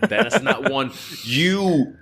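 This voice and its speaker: A man runs a tabletop role-playing game over a clear bed of music. He sits at a middling pitch and improvises his descriptions haltingly, feeling his way through each scene with plenty of fillers. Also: a bit bright. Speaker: game master. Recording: background music under the voice.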